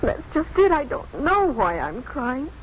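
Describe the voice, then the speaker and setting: Earnest loyal wife, emotional, very expressive pitch, old muffled radio drama. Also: female voice, unhurried. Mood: fragile, emotional. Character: devoted, emotional, resolute doctor's wife. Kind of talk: 1950s radio drama acting. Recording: muffled, noisy 1950s radio recording.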